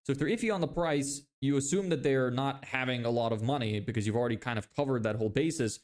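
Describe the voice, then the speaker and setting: A man's voice: mid-range voice, fast. Young Lithuanian man streaming live. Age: 20s. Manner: relaxed, reads viewer questions aloud, frequent fillers and restarts. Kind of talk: casual live-stream chat, explaining sales tactics.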